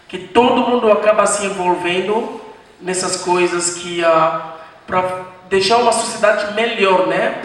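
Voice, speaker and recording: A man hosting a post-screening discussion, explaining things at an unhurried pace and pausing to gather his thoughts. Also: sits high, a bit bright. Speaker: panel host. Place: screening room, large hall. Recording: echoey hall, distant, faint noise.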